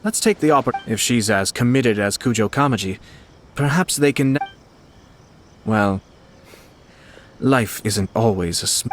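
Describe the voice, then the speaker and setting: An actor playing a courteous young nobleman in a video game, speaking in a polite, measured, formal manner with a playfully sly streak. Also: on the low side, slight background noise, natural voice. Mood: composed, courteous. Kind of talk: video game character acting. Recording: music behind the voice.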